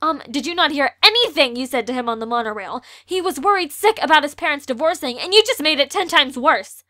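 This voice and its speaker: A woman's voice: sits high, lively intonation, a little fast, bright, forceful tone. A young woman playing an outspoken, sarcastic teenage girl in an amateur audio drama. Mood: reproachful.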